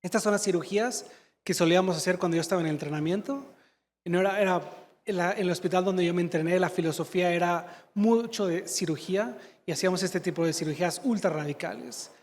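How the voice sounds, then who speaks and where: High-voiced man giving a conference talk. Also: fast, a little mellow. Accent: slight Mexican Spanish. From Mexico. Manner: fluent but searching for Spanish words, fillers like "este". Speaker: conference speaker, surgeon. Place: large conference hall. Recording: long hall reverb.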